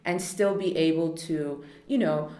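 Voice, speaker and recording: A woman's voice: sits low, unhurried, dark tone. A woman hosting a casual podcast conversation, speaking earnestly and searching for her words with frequent restarts. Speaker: podcast host. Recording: roomy echo with faint background noise.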